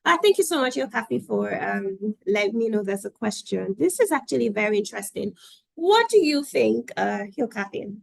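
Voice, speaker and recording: A woman's voice: mid-range voice, sing-song, normal speed, creaky, no echo, poor audio. A woman moderating an online panel, upbeat, reading out questions from the audience.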